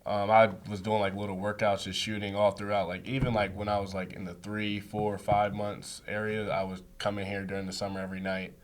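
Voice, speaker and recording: An easygoing young man with a lowish voice talks about his sport. His answers are casual and loose, filled with "like". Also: level tone, a little fast, hardly any pauses, slight background noise. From United States. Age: young adult. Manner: easygoing, says like a lot. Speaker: student athlete guest.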